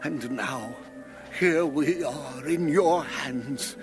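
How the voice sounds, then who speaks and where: An actor playing a humble, wily envoy who pleads deferentially as a servant from afar in a dramatized scripture reading. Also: male voice, medium pitch, drawn-out words, music in the background. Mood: fearful, submissive.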